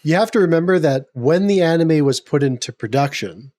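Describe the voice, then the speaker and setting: A man hosting a casual podcast, getting over a cold so his voice is a little gravelly, opinionated and prone to stumbling restarts. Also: a little high, expressive, brisk, dark tone, no echo. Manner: opinionated, rambling with repeated restarts. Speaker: podcast host.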